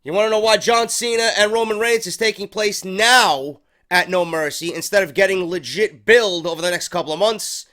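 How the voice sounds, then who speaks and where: A man's voice: sits high, moderate pace, sharp tone. A man with a stuffy head cold hosting a casual wrestling review show, rambling and swearing freely. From New York. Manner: profane, rambling, unscripted.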